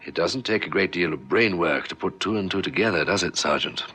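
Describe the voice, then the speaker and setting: A senior newspaper editor in a vintage radio serial. His voice is low, sharp and husky. He is courteous, forthright and likes to explain. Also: expressive, a little fast, full character voice. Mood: explanatory, forthright. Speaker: actor in a radio police drama. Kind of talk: old South African radio drama acting.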